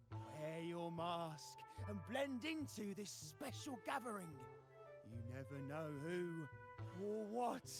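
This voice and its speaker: An actor playing a theatrical, teasing festival greeter in a video game, with a playful, spooky delivery. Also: male voice, high-pitched, vocal fry, full character voice. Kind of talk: video game character acting.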